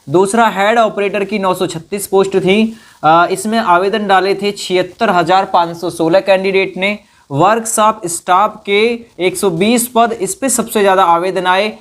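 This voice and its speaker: A man giving an informal explainer to students, repeating phrases for emphasis and checking in with short tag questions. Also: high voice. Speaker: exam-news presenter. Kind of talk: informal explainer addressed to exam candidates.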